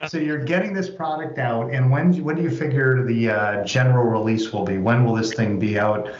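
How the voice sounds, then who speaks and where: A man's voice: medium pitch, moderate pace. Wry American man in an echoey room. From United States. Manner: wry, teasing. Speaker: podcast co-host. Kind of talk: casual bantering podcast chat. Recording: echoey, dull audio with background noise.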